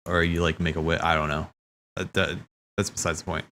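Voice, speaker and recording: A man's voice: sits low, little pitch movement, brisk, pushed and bright, slight background noise. A man hosting a livestream, talking in a casual, slangy, rambling way as he thinks aloud. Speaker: livestream host. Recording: background music.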